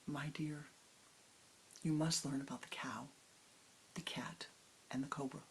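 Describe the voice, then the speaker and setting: A woman voicing a wise, gentle old grandmother who speaks in a soft whisper, in a told story. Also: low-pitched, steady tone, a little slow, slight background noise, pitched below the performer's own voice, poor audio. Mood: solemn.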